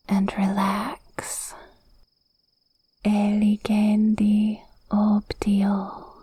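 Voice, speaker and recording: A woman voicing a cute witch in an ASMR roleplay, softly whispering a hypnotic spell in a soothing, breathy way, close to the mic. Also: medium pitch, no echo, full character voice. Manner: slow, soothing, hypnotic, drawn-out phrasing. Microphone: close microphone, dry.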